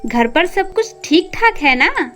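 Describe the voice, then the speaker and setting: A woman's voice: very high-pitched, big pitch swings, slow, fairly bright tone, faint music behind, exaggerated voice. A woman playing a sweet-voiced former sweetheart in an audio story, with a teasing, questioning manner and wounded feeling underneath. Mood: probing. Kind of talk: acted audio story dialogue.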